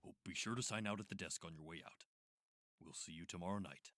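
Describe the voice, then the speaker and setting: An actor playing a courteous, clinical institute doctor in a radio drama, speaking in a measured, formal way. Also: male voice, low voice, no room sound, studio quality. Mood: polite, satisfied. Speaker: doctor at a sleep therapy institute. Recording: loud noise with clicks.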